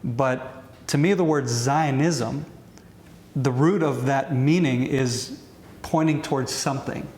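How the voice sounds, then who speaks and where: A man with an Israeli accent hosting an interview, whose speech is halting, with many restarts as he searches for words in English. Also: mid-range voice, a little mellow, reverberant, slight background noise. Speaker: podcast host. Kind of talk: interview questions.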